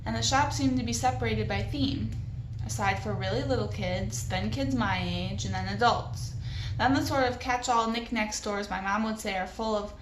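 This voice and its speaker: A woman's voice: mid-range voice, moderate pace. A woman hosting a read-along, chatting casually and reading a children's novel aloud expressively. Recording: roomy echo with background noise.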